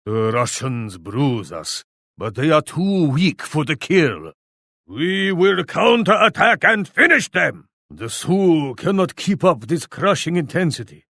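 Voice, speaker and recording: A man's voice: fairly high pitch, very animated, slow pace, a bit bright, dry recording, studio quality, full character voice. An actor playing a proud, grim Japanese warlord in a video game, solemn and declamatory as he addresses troops and allies. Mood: defiant resolve. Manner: solemn, declamatory, speaks of himself in third person. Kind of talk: theatrical video game voice lines.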